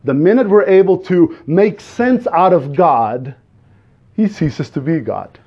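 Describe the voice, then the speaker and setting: A man from the Azores with a Portuguese accent, a native Portuguese speaker preaching a sermon in an earnest, halting way, often restarting and putting questions to the congregation. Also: on the high side, expressive, breathy edge, muffled recording. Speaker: guest preacher.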